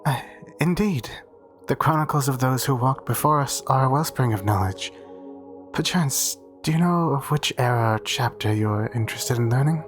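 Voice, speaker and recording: A man's voice: mid-range voice, moderate pace, faint music behind, natural voice. A man playing a meek, devout and easily flustered cathedral priest in a roleplay audio drama, speaking formally and hesitantly. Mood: reverent.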